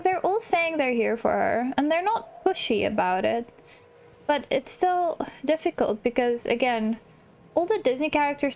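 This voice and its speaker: A woman with a fairly high voice gives casual commentary as she plays a video game. She talks in a reflective, empathetic way, like a channel host thinking aloud. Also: darkish tone.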